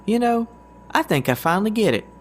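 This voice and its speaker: A man's voice: high-pitched, very animated, brisk. A man playing a loyal, good-natured and goofy agent with a folksy, joking manner in an audio drama. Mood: joking, easygoing. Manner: folksy, warm-hearted, joking.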